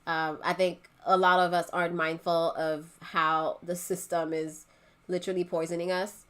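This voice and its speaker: A pregnant woman from Haiti in casual conversation, chatty and quick to laugh. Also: on the low side, little pitch movement, fairly bright tone, smooth. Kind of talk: casual chat.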